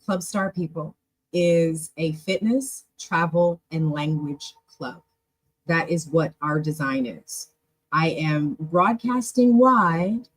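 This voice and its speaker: A woman hosting an online club stream, chatting casually in a loose, easygoing way with a husky voice. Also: low-pitched, slow, no room sound, low-quality recording. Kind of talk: casual livestream talk.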